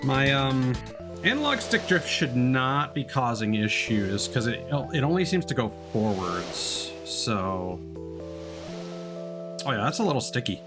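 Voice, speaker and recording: A man's voice: mid-range voice, big pitch swings, a little slow. A man doing casual live commentary as he streams a video game. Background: loud video game music.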